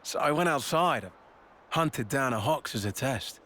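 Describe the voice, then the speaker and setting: A man's voice: mid-range voice, lively intonation, normal speed, sharp tone, slight background noise. A man voicing a blunt, easygoing colony soldier in a video game, casual and plain-spoken. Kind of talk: video game cutscene dialogue. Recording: game cutscene with music score.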